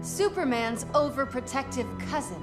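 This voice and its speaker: An actress playing a fierce, stubborn young alien superheroine in a video game, forceful and defiant. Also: female voice, sits high, expressive, moderate pace. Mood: firm. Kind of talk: video game cutscene acting. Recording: loud music and crowd-like din behind.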